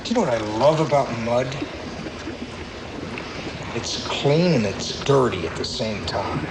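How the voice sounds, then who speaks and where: A man's voice: mid-range voice, lively intonation, measured pace, natural voice. An actor playing a menacing, eccentric villain king in a sci-fi film, with a theatrical delivery. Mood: gleeful menace. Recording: distant film clip, loud music.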